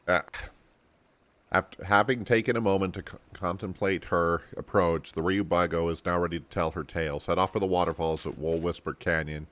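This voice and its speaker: A man's voice: deep voice, a bit bright. A man streaming a game, giving casual commentary and reading the game text aloud.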